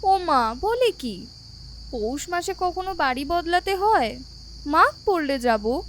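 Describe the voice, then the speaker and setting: Motherly village auntie, high voice, slow and doting, audio drama, faint crickets behind. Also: very animated, fairly bright tone, slight background noise, exaggerated voice. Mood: surprised, protesting. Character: kindly, motherly village housewife, affectionate and teasing. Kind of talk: audio story drama acting.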